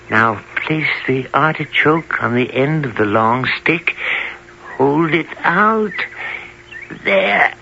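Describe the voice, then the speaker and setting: A man's voice: on the high side, gravelly, sharp tone, heavily acted. A man playing a valiant little tailor in a radio folk tale, chatty, sly and cocky, with a jaunty theatrical delivery. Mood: busy, scheming. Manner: theatrical, talks to himself and others jauntily. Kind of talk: 1970s old-time radio drama acting. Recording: muffled, hissy 1970s radio recording.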